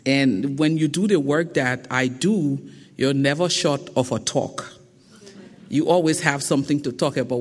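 A woman with a thick Liberian accent giving a public lecture in a slow, deliberate and emphatic way. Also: low-pitched. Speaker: guest speaker. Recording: long reverb of a lecture hall.

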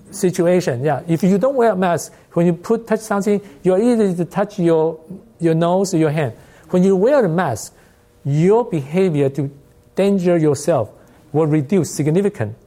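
Mellow-voiced lecturer with a Taiwanese accent. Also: medium pitch. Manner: non-native English with loose grammar, rhetorical questions. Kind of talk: informal academic presentation.

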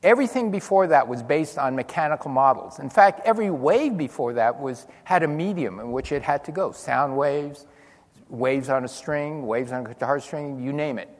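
An older man giving a physics lecture to an audience, telling stories with dry humor and lively demonstrations. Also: on the high side, normal speed. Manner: animated storytelling with dry humor. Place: large lecture hall. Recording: long hall reverb.

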